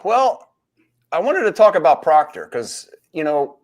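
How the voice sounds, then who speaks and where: A man's voice: on the high side, sing-song, measured pace. A man co-hosting a live stream, chatty and easygoing, telling stories from his years as a police officer. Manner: chatty, storytelling, laughs easily. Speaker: co-host, former police officer. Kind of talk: casual live-stream chat.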